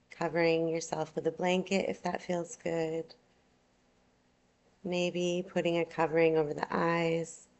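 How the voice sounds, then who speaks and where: A woman teaching yoga nidra, guiding a relaxation meditation in a soothing, unhurried, gentle way. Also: on the low side.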